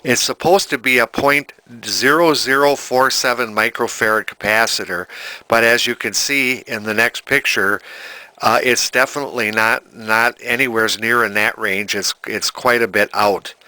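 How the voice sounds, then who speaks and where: A man giving an informal, halting hands-on repair demonstration in an indoor workshop, explaining as he goes. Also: mid-range voice, bright, forceful tone, dry recording, poor audio. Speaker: amateur vintage TV restorer.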